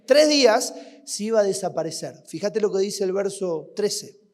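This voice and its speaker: A man with an Argentine accent preaching a sermon at a youth camp, asking rhetorical questions and swinging between loud and hushed. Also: sits high, animated, moderate pace. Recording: long hall reverb.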